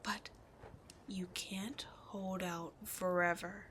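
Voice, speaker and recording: A woman voicing an immortal demon queen in an ASMR roleplay, imperious, seductive and cruel, speaking deliberately and often breathily. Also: fairly low pitch, flat delivery, a bit bright, slight background noise. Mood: seductive, taunting. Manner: slow, deliberate, haughty, often breathy and whispered. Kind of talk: ASMR roleplay villain monologue.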